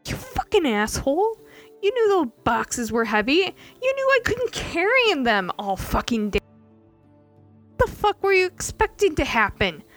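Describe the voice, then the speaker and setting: A woman voicing an angry young woman disguised as a sailor, shouting indignant curses in a story reading. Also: sits high, huge pitch swings, normal speed, soft background music, pitched above the performer's own voice, full character voice. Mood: furious.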